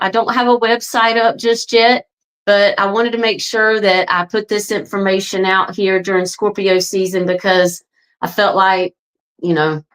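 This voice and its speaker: A woman's voice: mid-range voice, rough recording. Earnest chatty woman in her forties. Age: 40s. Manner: earnest, chatty, addresses viewers as folks; frequent ums and "right?" tags. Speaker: astrology and wellness coach. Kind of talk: casual direct-to-camera promo talk.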